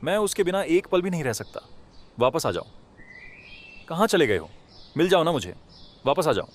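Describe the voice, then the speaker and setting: A man's voice: on the high side, very animated, normal speed. A man voicing a kind-hearted, emotional poor villager in a Hindi cartoon, speaking in a dramatic, pleading way. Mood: distraught, pleading. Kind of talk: Hindi animated moral story dialogue.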